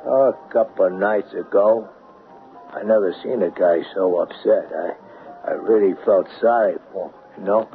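An actor playing a down-and-out barfly in a 1970s radio drama, folksy and hesitant, cadging drinks with rough grammar. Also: male voice, on the low side, normal speed, faint music behind, close to an everyday voice. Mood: hesitant, sympathetic. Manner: hesitant, folksy, uneducated phrasing. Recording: muffled, faintly noisy 1970s radio recording.

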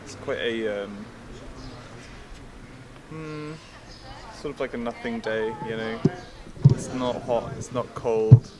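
A young man giving a relaxed, rambling vlog update outdoors on a town street, filmed on a handheld camera. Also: medium pitch, slow, long pauses, very noisy. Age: young adult. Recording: busy street crowd behind.

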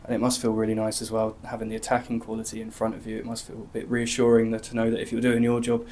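A man's voice: fairly low pitch, monotone, fast talker, a little mellow. A man interviewing a player for the club's channel, asking chatty, leading questions. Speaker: club media interviewer. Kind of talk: post-match interview questions.

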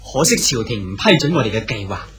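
An earnest old scholar-official. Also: male voice, on the low side, sing-song, brisk. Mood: earnest regret. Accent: Cantonese. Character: Song-dynasty scholar-official poet, older man, earnest. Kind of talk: Cantonese radio drama acting.

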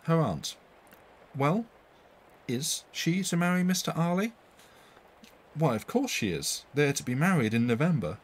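A man's voice: on the high side, sing-song, unhurried, pauses often, husky, voice pushed up, heavily acted. A man voicing an inquisitive young country woman chatting in a storybook tale. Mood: chatty.

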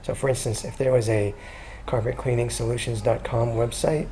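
A man talking casually through a screen-recorded walkthrough as a consultant, chatty and relaxed, with frequent ums and restarts. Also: mid-range voice, normal speed, slight background noise. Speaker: SEO consultant pitching a business.

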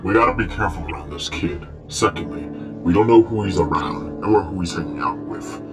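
A man's voice: low-pitched, fairly quick, lightly acted. A man playing a bossy animatronic bear who leads a band, authoritative and exasperated, in an amateur game roleplay. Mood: cautious. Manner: authoritative, exasperated with his bandmates. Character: bossy animatronic bear, male leader and star performer. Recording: loud music under the voice.

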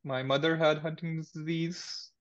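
Earnest young man from Pakistan, even and flat, dull video-call sound. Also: fairly high pitch, normal speed. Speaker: panelist, PhD researcher. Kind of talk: personal account on a panel. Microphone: video call from a laptop.

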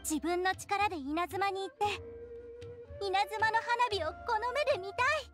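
Sweet, high-voiced little girl. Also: a little slow, over music. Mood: hopeful, earnest. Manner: childlike, sincere. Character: sweet, earnest little girl with a new dream. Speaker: voice actor in a video game. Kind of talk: anime-style game dialogue.

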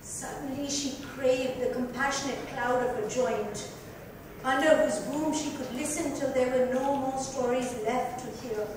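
A woman's voice: high voice, steady tone, unhurried. A woman giving a theatrical, emphatic dramatic reading as the author of the book. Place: club lounge, indoors. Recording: echoey hall, distant mic, faint noise.

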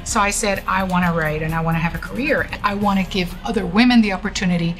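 A middle-aged woman from Puerto Rico, a writer, speaking in an interview in an office, with lively, expressive storytelling. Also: medium pitch, fast talker. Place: indoor office. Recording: music playing underneath.

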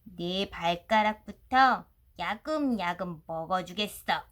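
A woman's voice: lowish voice, lively intonation, slow pace. A woman voicing a feisty, suspicious young girl rabbit in a storybook, grumbling and indignant. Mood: defiant. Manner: indignant, tattling, shouting. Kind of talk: storybook character voice.

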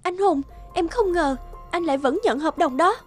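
Earnest, high-pitched young cartoon woman. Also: normal speed, soft background music. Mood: reproachful. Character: principled, earnest younger woman, easily flustered. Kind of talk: cartoon dialogue.

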